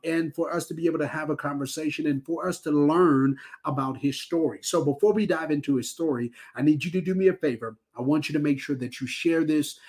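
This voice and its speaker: A man's voice: on the high side, slightly rough. A man hosting a podcast, speaking in an earnest, emphatic way with repeated phrasing. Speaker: podcast host. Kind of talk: podcast intro and conversation.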